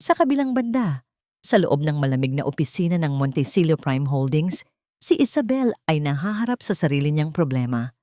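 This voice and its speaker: A woman with a Filipino accent reads a dramatic story. Her voice sits slightly low and swings widely in pitch from phrase to phrase. The recording is dry and close, with very little room sound. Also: very animated, normal speed, dark tone, dry recording. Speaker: audiobook narrator. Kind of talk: scripted dramatic first-person story narration.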